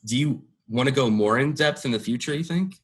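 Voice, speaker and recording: A man's voice: mid-range voice, brisk. A young man interviewing a musician for student radio, asking questions hesitantly with frequent uhs and restarts.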